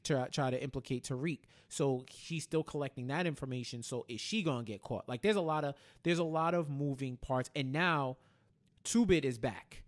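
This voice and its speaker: A man co-hosting a casual TV recap, retelling scenes in quoted dialogue and repeating phrases for emphasis. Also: medium pitch. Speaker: podcast host.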